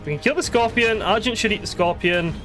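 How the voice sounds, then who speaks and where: A man giving casual, sweary live commentary as he plays a video game, excitable and exasperated, muttering to himself and at the game's creatures. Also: sits high, brisk, faint music behind.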